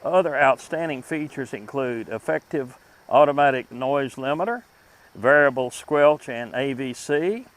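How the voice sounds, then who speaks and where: A man with a mid-range voice presents a review as host of a vintage electronics channel. He talks informally through what he is demonstrating. His delivery is deliberate and unpolished, with a halting flow. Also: medium pitch, animated.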